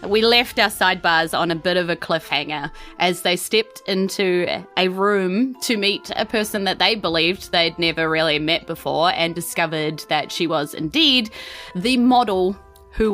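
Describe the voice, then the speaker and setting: A woman hosts a tabletop game show in a bright, forward voice. She is informal, theatrical and giggly, with music playing underneath. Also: fairly low pitch. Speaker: host and game master of a podcast.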